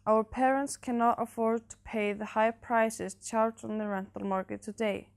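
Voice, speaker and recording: A teenage girl with a slightly high voice reads out prepared questions for an interview. Her delivery is even and scripted. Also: moderate pace, gravelly. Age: teens. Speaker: student interviewer.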